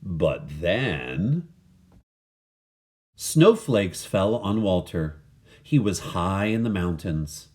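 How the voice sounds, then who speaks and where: A man reading a children's picture book aloud in an animated, dramatic manner. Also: deep voice, extremely slow, pauses often. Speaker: story reader.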